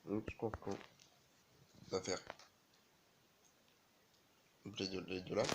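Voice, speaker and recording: Male gamer with a cold, low monotone, casual halting play-by-play. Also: vocal fry, noisy background. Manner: halting, many fillers and restarts. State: has a cold, sneezing. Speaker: gaming video creator. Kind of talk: casual game commentary.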